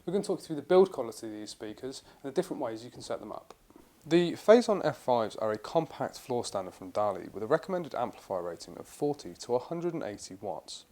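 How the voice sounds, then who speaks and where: A man's voice: mid-range voice, big pitch swings, brisk, dark tone. A man presenting a retailer's product video guide, informative and enthusiastic, with occasional fillers and restarts. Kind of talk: product review narration.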